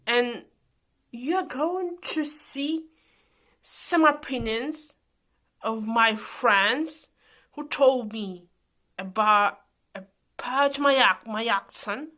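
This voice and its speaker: A woman's voice: a little high, drawn-out words, pauses often, sharp tone. A woman from Russia with a Russian accent, talking to camera in a reflective vlog. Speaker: vlogger.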